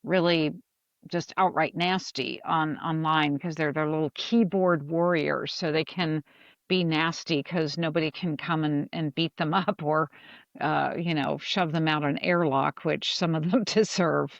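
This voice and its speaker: A woman co-hosting a casual panel chat, plain-spoken and halting as she goes. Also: deep voice, steady tone, no room sound, lo-fi sound. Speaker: podcast co-host.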